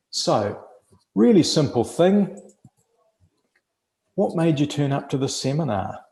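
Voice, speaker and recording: Slow gravelly New Zealand man teaching. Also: fairly low pitch, warm tone, reverberant. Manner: measured, explanatory, with frequent fillers. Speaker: webinar presenter. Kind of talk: teaching a webinar. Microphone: video call.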